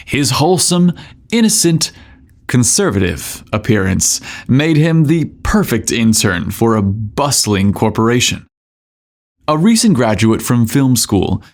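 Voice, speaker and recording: A man hosting a podcast and narrating a scripted story in a theatrical, suggestive way. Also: medium pitch.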